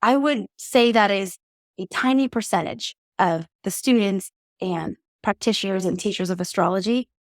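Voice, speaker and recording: A woman hosting a podcast, giving earnest, encouraging advice in a steady, reflective teaching monologue. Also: mid-range voice, normal speed, no echo, clean studio sound. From native English speaker. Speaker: podcast host.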